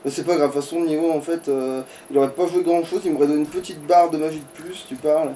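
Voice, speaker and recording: A man's voice: a little high, fairly even intonation, quick pace, dark tone, far from the mic, a little hiss or hum. A man chatting casually as he plays a video game, grumbling and swearing in an informal, conversational way. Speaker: let's play gamer, playing the game. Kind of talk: casual gaming chat, commentary.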